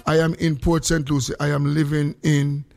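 A man's voice: a little high, flat delivery, normal speed, vocal fry, a little mellow. A Jamaican man hosting a live call-in radio show, chatting in a Jamaican accent with sing-song, exuberant greetings. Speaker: gospel radio show host. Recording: faint music behind the voice. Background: music.

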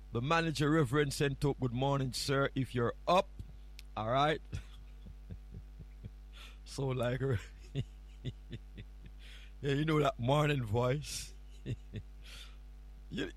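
A man with a Jamaican accent chatting casually as a radio host, often repeating words and phrases for emphasis. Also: medium pitch. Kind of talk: casual morning radio show chat. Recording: music playing behind the voice. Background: music.

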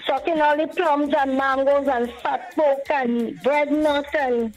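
A woman's voice: sits high, steady tone, slow, soft background music, poor audio. A woman with a Grenadian accent chatting casually as a caller to a radio show, playful, teasing and familiar. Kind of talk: casual chat.

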